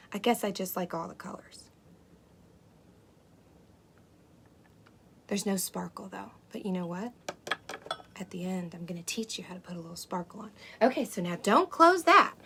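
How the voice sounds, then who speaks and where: A woman playing an excitable, gentle unicorn who presents a children's cooking show, talking to young kids with wonder and breathy asides. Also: mid-range voice, normal speed. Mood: delighted, eager. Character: excitable, gentle, impatient female unicorn hosting kids' show. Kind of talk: children's cooking demonstration to camera. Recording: clear steady background noise.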